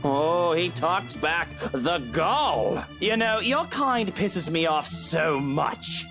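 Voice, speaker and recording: A woman's voice: fairly low pitch, lively intonation, takes it slowly. A woman voicing a haughty, sarcastic rich villain in a visual novel, with mocking, condescending politeness. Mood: condescending, irritated. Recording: faint music behind the voice.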